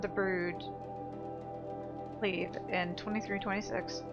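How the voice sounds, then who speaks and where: A woman's voice: mid-range voice, level tone, slow pace, highs cut off. A woman giving offhand, casual commentary as she plays a game, thinking aloud. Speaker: gamer, let's player. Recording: clear game music behind.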